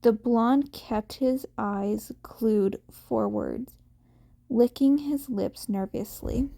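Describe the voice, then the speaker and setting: A woman's voice: fairly high pitch, drawn-out words, creaky, mellow. A woman reading a story aloud as scripted narration, occasionally stumbling and correcting herself. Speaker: fan fiction reader.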